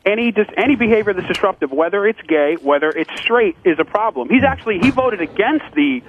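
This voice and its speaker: A man's voice: high voice, expressive, fairly quick, a little hiss or hum. A man phoning in to a radio show, arguing earnestly and casually, insistent and stammering on word starts over a phone line. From United States, Connecticut. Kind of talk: earnest, casual political argument.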